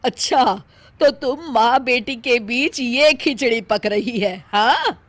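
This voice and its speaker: A woman playing an indignant older mother-in-law in an animated comic story, combative and sarcastic. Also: on the high side, slow pace, dry recording. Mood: accusing.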